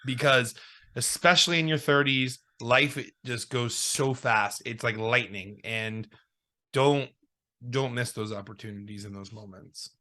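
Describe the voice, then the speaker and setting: A man's voice: medium pitch, a little slow, pushed and bright. A man in his thirties co-hosting a podcast, joking and chatting playfully. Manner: jokey, playful, laughs a lot. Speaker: podcast co-host. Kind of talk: casual chat.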